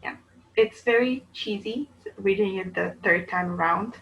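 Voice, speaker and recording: A woman from Bulgaria, co-hosting a casual book chat, talking in an animated, rambling way that often breaks off and restarts. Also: mid-range voice, measured pace, gravelly, lo-fi sound, a little hiss or hum. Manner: chatty, animated, self-interrupting. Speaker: book channel co-host.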